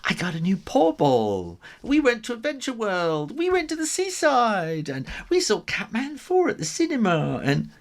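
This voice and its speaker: A man's voice: high-pitched, huge pitch swings, normal speed, few pauses, voice pushed up, exaggerated voice. A man voicing small, eager kittens at school in a children's storybook, with childlike chirping. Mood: excited.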